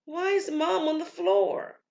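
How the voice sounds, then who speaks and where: A woman voicing an earnest, innocent daughter in an audio story, halting and full of childlike wonder. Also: high voice, sing-song, darkish tone, lo-fi sound, voice pushed up, exaggerated voice. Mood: bewildered.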